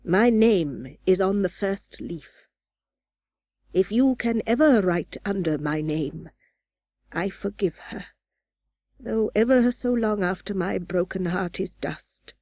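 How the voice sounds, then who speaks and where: Slow-spoken, broken old recluse lady. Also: mid-range voice, animated, warm tone, dry recording. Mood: sorrowful, pleading. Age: older. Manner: slow, anguished, drawn-out delivery. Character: broken, remorseful old wealthy recluse woman. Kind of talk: dramatic audiobook character reading.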